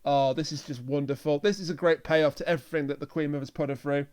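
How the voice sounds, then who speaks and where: High-pitched man chatting on a podcast. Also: fairly even intonation, fast talker, darkish tone, clean tone. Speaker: podcast co-host. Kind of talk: casual review chat, retelling plot.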